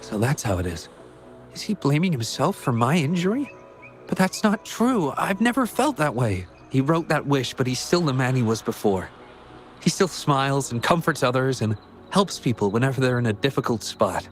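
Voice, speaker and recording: Guy playing a young researcher in a video game, earnest and worried about his friend, medium pitch, music behind. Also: sing-song, normal speed, close to an everyday voice. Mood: worried, pleading.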